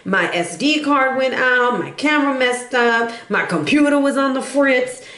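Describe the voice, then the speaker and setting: A woman giving a casual, sassy tarot forecast, chatty and playful, a little hoarse from recovering from bronchitis. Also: high voice, expressive, measured pace, fairly bright tone. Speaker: tarot reader. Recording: echoey room.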